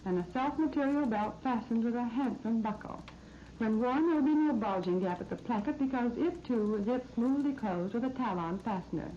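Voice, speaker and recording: A 1930s American woman reads a scripted shopping feature for radio. Her voice sits fairly high and her delivery is polished and promotional. The old recording is muffled, with faint noise underneath. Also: dark tone. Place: radio studio. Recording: muffled, noisy 1939 radio disc recording.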